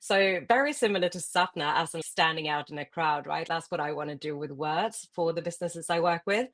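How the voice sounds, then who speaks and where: A woman's voice: low voice, a little fast, a bit bright. A woman from Sweden, a copywriter and interview guest, talking in a friendly, enthusiastic way and laughing easily. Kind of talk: casual interview.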